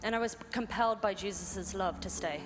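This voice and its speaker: A woman's voice: mid-range voice, a little fast. Female guest speaker, twenties, mid-range voice, phrased testimony in a reverberant hall. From Ireland. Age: 20s. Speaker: anti-trafficking worker, guest speaker. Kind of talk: personal testimony in short phrases for interpreter. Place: large event hall. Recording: hall reverb, music behind, live PA. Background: music.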